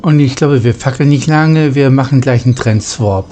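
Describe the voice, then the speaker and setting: A man hosting a casual let's play, talking in a halting, deliberate way and slipping into role-play. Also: mid-range voice, mellow, slight background noise.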